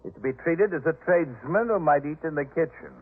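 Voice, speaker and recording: An actor playing a proud, disdainful master of a biblical household in a 1950s radio drama, with haughty, emphatic delivery. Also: male voice, on the high side, animated, fairly quick, husky. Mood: haughty, commanding. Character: proud, indignant, disdainful male head of household in biblical times. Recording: muffled narrowband 1950s radio recording.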